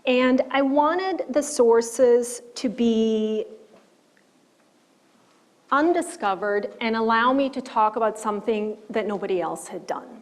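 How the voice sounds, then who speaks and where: Swiss-raised woman giving a slide lecture. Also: a little high. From German-speaking Switzerland. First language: Swiss German. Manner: measured, explanatory, with fillers. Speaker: historian of science, lecturer. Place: lecture hall.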